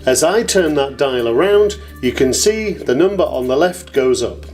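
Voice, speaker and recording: Male demonstrator, informal and enthusiastic, very varied pitch, some echo processing on the voice. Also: expressive, moderate pace, a little mellow, faint music behind. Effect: echo and delay at times. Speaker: demonstrator of an audio effects unit. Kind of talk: informal product tutorial.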